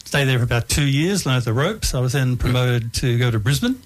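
A man's voice: mid-range voice, a little fast. Easygoing older Australian man with ums. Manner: relaxed, hesitant with frequent ums. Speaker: guest. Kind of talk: life story interview.

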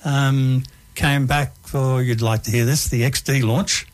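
A man's voice: medium pitch, a little slow. Easygoing older Australian man with ums. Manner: relaxed, hesitant with frequent ums. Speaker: guest. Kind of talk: life story interview.

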